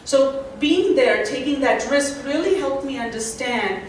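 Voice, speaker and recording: A woman's voice: high voice, animated, measured pace, a little hiss or hum. A middle-aged woman with an Indian accent, speaking earnestly as a panelist at a symposium. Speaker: panelist, associate dean. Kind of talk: panel talk to a symposium audience. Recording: echoey hall from far off.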